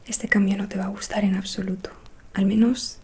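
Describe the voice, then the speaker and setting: Breathy whispering woman doing ASMR witch roleplay. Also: mid-range voice, level tone. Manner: slow, hushed, soothing delivery. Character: calm mysterious witch fortune teller who speaks with spirits. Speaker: ASMR performer.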